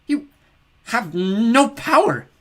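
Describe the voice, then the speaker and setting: A man's voice: very high voice, big pitch swings, slow pace, pushed and bright, voice pushed up, full character voice. A man voicing a cruel, haughty female snow goddess who snarls and scorns. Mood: scornful.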